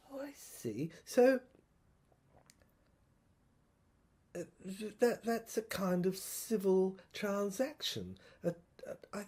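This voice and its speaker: A woman playing a troubled soldier in an audio drama monologue, thinking aloud in a halting, stammering, husky voice. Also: on the low side, dark tone, heavily acted. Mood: musing, uneasy. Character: troubled soldier piecing together a dead professor's secret diary.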